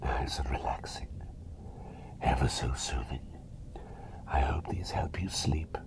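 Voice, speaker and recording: A man playing a cheery, eccentric, gentle plague doctor in a whispered, rambling and soothing ASMR roleplay. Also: very deep voice, slow pace, gravelly, full character voice. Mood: soothing, tender.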